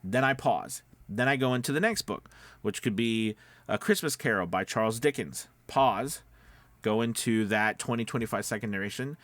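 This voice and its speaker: A man's voice: fairly low pitch, a bit bright, dry recording. A man talking casually as a voice-over coach, explaining things step by step in a conversational, emphatic way and often checking in with listeners.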